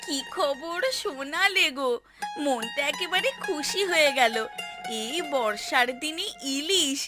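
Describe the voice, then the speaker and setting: A woman's voice: high voice, lively intonation, slow. A woman playing a gloating, spiteful village wife in a cartoon story. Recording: music playing behind the voice.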